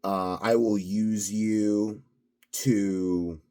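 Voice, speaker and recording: A man's voice: on the low side, flat delivery, drawn-out words, a little mellow. A self-assured, blunt man rambling unscripted to his viewers in a grandiose solo monologue. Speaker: solo video host.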